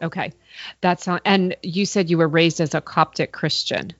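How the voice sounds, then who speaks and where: A woman's voice: fairly low pitch, steady tone, normal speed, no room sound, dull audio. A woman hosting a recipe podcast, reading an enthusiastic introduction and then interviewing her guest. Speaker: podcast host.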